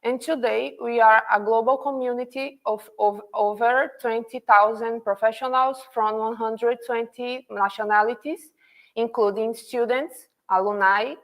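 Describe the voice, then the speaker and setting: A woman with a fairly high voice opens an online event as its host, speaking formally and politely. She reads from a script and sometimes restarts a word. She is heard through a video call. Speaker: event host, institutional manager. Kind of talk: formal webinar welcome.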